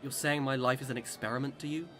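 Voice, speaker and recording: A man's voice: mid-range voice, fairly even intonation, fast, music in the background, close to an everyday voice. A man acting in a radio drama as a boastful immortal Englishman who swings between cheer and despair, with theatrical old-time speech. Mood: puzzled, troubled.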